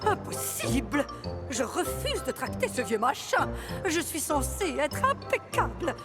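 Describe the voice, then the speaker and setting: A vain female railcar from a children's cartoon. The voice is high and very animated, with haughty, theatrical fussiness. Loud music plays behind it. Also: measured pace. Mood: indignant refusal. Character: vain, fussy, excitable female railcar, self-important. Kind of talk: children's animated series dub.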